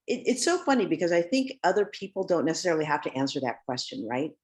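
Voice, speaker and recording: Low-voiced, animated woman. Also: quick pace. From United States. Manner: animated, conversational, anecdotal. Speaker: judge. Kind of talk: webinar panel conversation. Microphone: video call.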